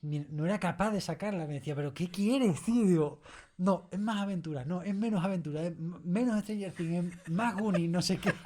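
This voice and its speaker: A man with an accent from Spain and a slightly high voice talks in a casual interview. He is animated and emphatic, often repeating phrases and voicing little quotes as he explains. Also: a little fast, a little hiss or hum. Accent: Spanish from Spain. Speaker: audio series creator being interviewed. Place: recording studio.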